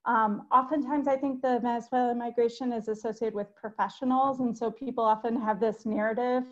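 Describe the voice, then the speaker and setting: A woman's voice: on the high side, steady tone, brisk. A middle-aged woman speaking as a panelist in an academic webinar, conversational with fillers, on a video call with earbuds from home. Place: home room. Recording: dull video call audio.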